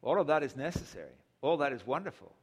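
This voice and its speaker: A man's voice: mid-range voice, sing-song, fast, darkish tone, slightly rough. Older man, preacher, humorous sermon, reverberant hall. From England. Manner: humorous storytelling, chuckles at own jokes.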